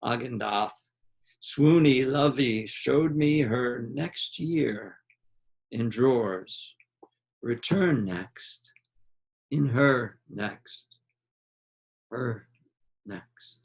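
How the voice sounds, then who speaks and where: A man reads literary fiction aloud at a slow, measured pace. His mid-pitched voice has a gravelly creak, and he sets short phrases apart with deliberate pauses. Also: rough recording. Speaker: reader of a literary novel. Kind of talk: dramatic literary reading aloud.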